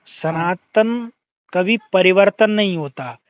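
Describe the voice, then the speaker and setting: A man's voice: sits high, slow, no echo. A man in a religious debate on a phone call, arguing through questions and recited scripture. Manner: argumentative, questioning, quoting scripture from memory. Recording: dull lo-fi call audio.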